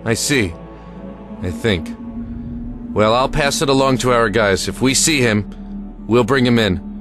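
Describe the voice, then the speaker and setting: A man's voice: mid-range voice, unhurried, natural voice. Guy acting as weary american lieutenant, clipped and commanding, audio drama, music under him. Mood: questioning, firm.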